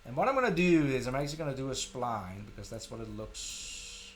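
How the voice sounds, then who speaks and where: A middle-aged man from Denmark with a Danish accent, hosting a casual live software tutorial, thinking aloud in an informal, self-deprecating way. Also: mid-range voice, sing-song, a little fast, a little hiss or hum. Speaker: tutorial live stream host.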